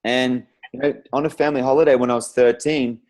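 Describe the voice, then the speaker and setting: A man's voice: medium pitch, fairly even intonation, fast talker, a little mellow. A man hosting a conversational interview in an informal, rambling, encouraging way. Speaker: interview host.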